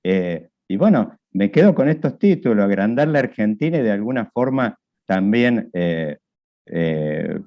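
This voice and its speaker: A man's voice: lowish voice, sing-song, a little slow. A man hosting a podcast interview, wrapping up with friendly thanks. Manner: brief backchannels and warm sign-offs. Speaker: podcast host. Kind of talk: casual interview. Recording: dull lo-fi sound.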